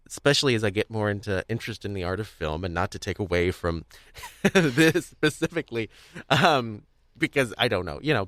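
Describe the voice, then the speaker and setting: A man with a slightly low voice hosts a relaxed conversation. He speaks thoughtfully and hesitantly, rambling as he works out his point, in a dry recording with little room sound. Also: no echo. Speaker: podcast host interviewer. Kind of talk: casual conversational interviewing.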